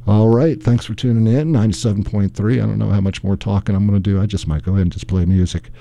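A hoarse man, rambling easily as a radio DJ between songs. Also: on the low side, fairly even intonation, fast, mellow. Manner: rambling, chatty, easygoing storyteller. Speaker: radio DJ and show host. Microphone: studio microphone.

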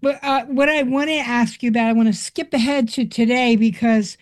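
A woman interviewer who listens attentively and keeps up a steady stream of short affirmations. Her voice is fairly high. She asks her questions in an easy conversational way. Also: brisk. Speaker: interview host, community radio. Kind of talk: casual interview.

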